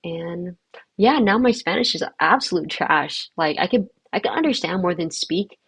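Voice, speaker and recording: A young woman who grew up speaking Vietnamese chats casually with her livestream viewers. Her voice is low-pitched, gravelly and husky, recorded dry and close. She talks in an upbeat way with lots of fillers. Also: animated, normal speed, fairly bright tone, dry recording. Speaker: livestream host.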